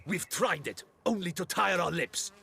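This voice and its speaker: A menacing, haughty thug with a heavily creaking, high-pitched male voice. He is sharp and contemptuous, a fantasy game bully over faint ambience. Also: lively intonation, normal speed, a little hiss or hum, exaggerated voice. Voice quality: gravelly, sharp. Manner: threatening, contemptuous. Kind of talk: video game voice acting.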